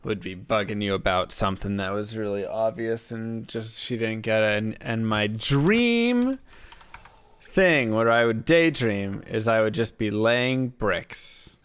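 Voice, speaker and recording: A man with a deep voice, chatting casually and in a laid-back, rambling way as he streams a game from a desk microphone. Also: a little slow, a bit bright, clean tone. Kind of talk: casual chat while gaming.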